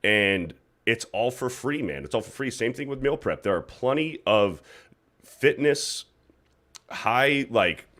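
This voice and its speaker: A man's voice: medium pitch, expressive, measured pace, fairly bright tone. A man hosting a casual livestream, reading super chats aloud and chatting loosely with a guest, with some swearing. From United States. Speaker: livestream host.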